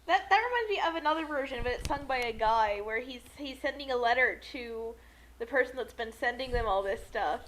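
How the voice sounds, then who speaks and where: A woman co-host giving casual, excitable comic commentary with a mocking, giggly manner. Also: fairly high pitch, fairly quick, poor audio.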